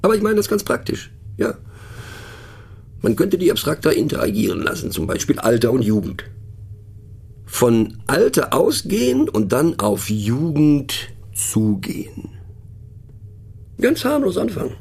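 Very husky, wry, musing narrator man. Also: a little high, huge pitch swings, darkish tone, heavily acted. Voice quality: husky, gravelly. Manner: wry, pensive, halting, addressing a listener. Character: musing, mocking male narrator pondering abstract words. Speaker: radio play narrator. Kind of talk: conversational radio play monologue.

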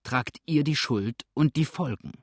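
A man's voice: medium pitch, unhurried, husky, no echo, studio quality, voice pushed up. A man voicing a hunted, ruthless outlaw in an audiobook, grim and threatening, with a sneering edge. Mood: cold menace. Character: hunted, ruthless male fugitive outlaw, exhausted and menacing. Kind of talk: audiobook character acting.

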